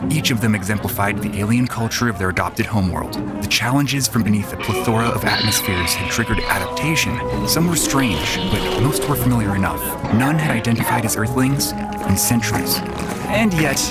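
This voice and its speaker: Give me a man acting a cocky, jaded young space racer telling his own story in an audio drama. The voice sits a little low, with prominent music underneath. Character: cocky, jaded young antigravity racing pilot narrating his past. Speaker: narrator and lead actor. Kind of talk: first-person scripted audio drama narration. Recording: voice over prominent background music.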